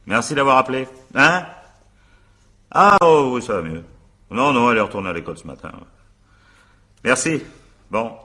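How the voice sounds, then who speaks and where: A man's voice: mid-range voice, expressive, normal speed, pauses often, bright, forceful tone. A male actor playing a shrewd, sardonic examining magistrate in a radio drama, questioning with probing irony and muttered asides. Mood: brisk, familiar. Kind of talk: French radio drama acting, naturalistic interrogation.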